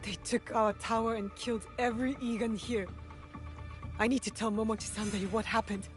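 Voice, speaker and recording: A woman's voice: a little high, fairly even intonation, normal speed, soft background music, close to an everyday voice. A woman playing an earnest, determined young shinobi in a video game, with a terse, serious delivery. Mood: grim.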